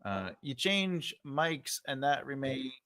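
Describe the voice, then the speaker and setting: A man with a big voice hosts a casual livestream. His pitch rises and falls a lot, and he talks in loose, filler-heavy bursts. His desk mic sounds close and dry. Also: very animated, takes it slowly, pushed and bright, clean tone, dry recording. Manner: loose and chatty, many fillers and restarts, adjusting his mic live. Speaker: writing class host. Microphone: desk microphone.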